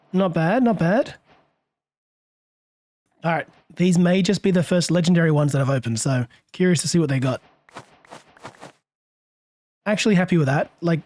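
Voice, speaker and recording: A hoarse man, a little under the weather, casually musing aloud as he narrates his game session and chuckling often. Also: a little high, darkish tone. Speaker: let's play host. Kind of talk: gameplay commentary.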